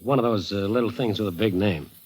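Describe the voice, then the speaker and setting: An actor playing a loyal, protective friend in a 1940s radio drama, earnest and reassuring. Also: male voice, fairly low pitch, fast, darkish tone, husky. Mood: evasive, soothing. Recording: vintage radio broadcast with music behind.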